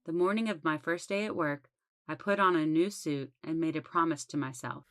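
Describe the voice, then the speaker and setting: A woman's voice: deep voice, normal speed, fairly bright tone, dry recording, studio quality. A woman reading a scripted first-person story as its narrator. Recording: music behind the voice. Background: background music.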